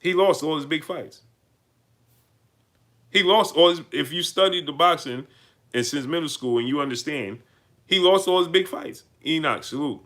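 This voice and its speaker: A man's voice: fairly high pitch, moderate pace, pauses often, fairly bright tone. A man with a New York accent hosting a live stream, greeting viewers and reading out their super chats. He talks emphatically, repeats himself and builds to heated peaks. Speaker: livestream host.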